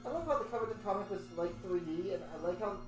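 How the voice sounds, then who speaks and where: A woman's voice: medium pitch, lively intonation, rapid-fire, echoey room, far from the mic, music in the background. A woman co-hosting a casual podcast, reading a fan letter and explaining comic art details. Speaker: podcast co-host. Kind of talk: casual chat and table reading.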